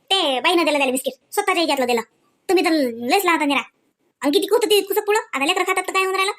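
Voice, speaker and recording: Cartoon village gossip , acted by a woman: extremely high voice, rapid indignant grumbling, quarrelsome, Varhadi Marathi accent. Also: a bit bright. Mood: defiant. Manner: indignant, complaining, colloquial. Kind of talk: Marathi comedy cartoon dialogue.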